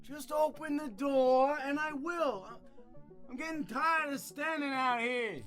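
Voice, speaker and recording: High-pitched, needling man. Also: takes it slowly, a bit bright, faint music behind, heavily acted. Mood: irritated. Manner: needling, crude, wheedling. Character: Lenny, eerie, taunting, pleading brother outside a door. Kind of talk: audio drama acting.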